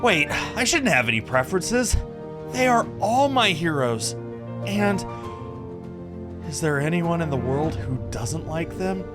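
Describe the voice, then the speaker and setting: A man voicing an eager, naive young male cat adventurer, earnest and excitable, in a visual novel. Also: a little high, a little slow, over music, voice pushed up. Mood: starstruck. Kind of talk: visual novel character read-aloud.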